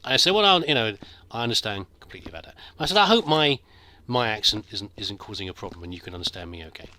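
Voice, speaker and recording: Brief: middle-aged British man, bright voice, rambling game-commentary storyteller, faint hiss behind. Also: fairly low pitch, expressive, fast. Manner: rambling, self-deprecating, hesitant restarts. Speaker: gameplay commentator. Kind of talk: casual storytelling over gameplay.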